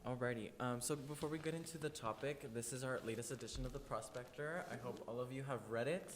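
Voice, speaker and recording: A nineteen-year-old man hosting a student podcast in a loose, friendly way with many fillers. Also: fast talker. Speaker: podcast host. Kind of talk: casual student podcast chat. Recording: long reverb, faint rumble.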